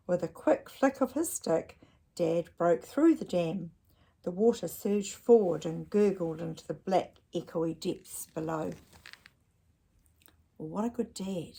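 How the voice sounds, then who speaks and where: A woman's voice: lowish voice, vocal fry, warm tone, husky. A woman reading a children's picture book aloud expressively, with chatty asides and questions to young listeners.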